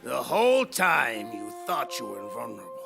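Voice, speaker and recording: Gloating, gravelly old villain. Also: male voice, mid-range voice, very animated, music in the background. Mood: mocking, gloating. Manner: theatrical, mocking, drawn-out delivery. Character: sneering old male villain, gloating, theatrical traitor. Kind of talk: dramatic video game voice acting.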